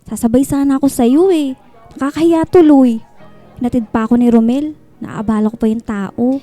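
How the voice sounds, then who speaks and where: A woman's voice: high voice, moderate pace, mellow, soft background music, close to an everyday voice. A woman playing a smitten, teasing, naive young woman with a Filipino accent in a radio drama.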